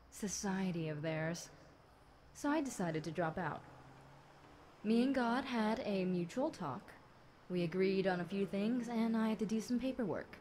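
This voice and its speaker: A woman's voice: medium pitch, a little mellow, lightly acted. A woman playing a chatty, centuries-old fallen angel demon girl, talking wryly and conversationally to one listener in an audio roleplay. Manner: conversational, wry, unhurried, dramatic musing. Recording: clear background noise.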